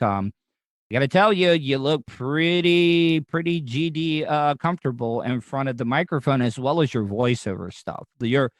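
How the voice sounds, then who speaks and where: American man, podcast host, casual interview, rambling asides, mid pitch, dry close mic. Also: no echo. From United States. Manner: rambling, talks in looping asides.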